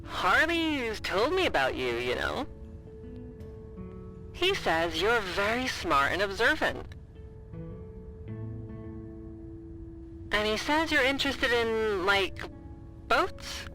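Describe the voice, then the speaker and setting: Casting: a bored, awkward radio operator, audio drama, casual rambling delivery, lively pitch swings, music underneath. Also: female voice, slow, exaggerated voice. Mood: awkward, curious. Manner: hesitant, casual, rambling with fillers. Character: bored, chatty, awkward office radio operator, eager for gossip. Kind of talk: naturalistic audio drama dialogue.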